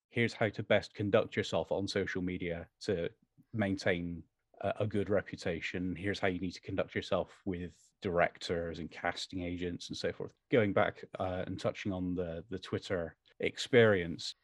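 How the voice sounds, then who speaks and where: A man's voice: low-pitched, no room sound, clean studio sound. A man with a British accent chatting casually as an interview guest, discursive and modest in his way of talking.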